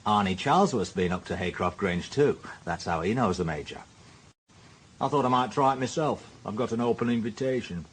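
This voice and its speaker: An actor playing a blunt, defiant senior police detective with a Northern English accent and gruff, combative speech in a radio drama. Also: male voice, lowish voice, expressive, a little fast, a little hiss or hum, close to an everyday voice. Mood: stubborn, matter-of-fact.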